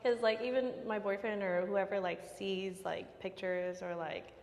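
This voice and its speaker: A woman's voice: mid-range voice, fairly even intonation, unhurried, vocal fry, darkish tone. A woman in her mid-twenties, a guest on the vlog, who chats casually in slangy speech and laughs often. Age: 20s. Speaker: guest sister. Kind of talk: casual conversation. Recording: echoey room with long reverb.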